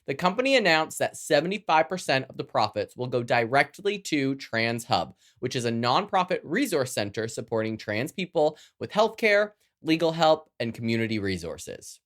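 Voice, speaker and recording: A Canadian podcast host with a very lively, swooping delivery at a middle pitch. The manner is sassy and playful, moving between scripted news reading and chatty, campy asides. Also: moderate pace, hardly any pauses.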